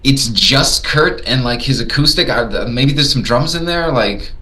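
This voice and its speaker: A man from Tanzania co-hosting a podcast, chatting casually and haltingly, repeating words as he thinks aloud. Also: medium pitch, bright, forceful tone. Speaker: podcast co-host. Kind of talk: casual chat.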